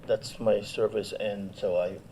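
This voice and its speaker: A man's voice: on the low side, level tone, measured pace, mellow, low-quality recording. A middle-aged man from Guyana introducing himself as a guest in an interview. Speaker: guest, clinical psychotherapist. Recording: faint background noise.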